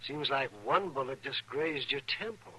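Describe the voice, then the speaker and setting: A sharp, swooping frontier town doctor. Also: male voice, mid-range voice, lively intonation, normal speed, low-quality recording. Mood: soothing, guarded. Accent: American. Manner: fussing, soothing, grumbling. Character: fussy, kindly, blunt frontier town doctor. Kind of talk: 1950s radio western acting. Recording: old radio recording with faint noise.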